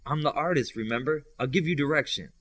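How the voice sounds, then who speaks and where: A man's voice: fairly high pitch, quick pace, fairly bright tone, dry recording, pitched above the performer's own voice. A man voicing a confident, artistic and helpful girl superhero in an audiobook. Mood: helpful, assured. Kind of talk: audiobook character dialogue.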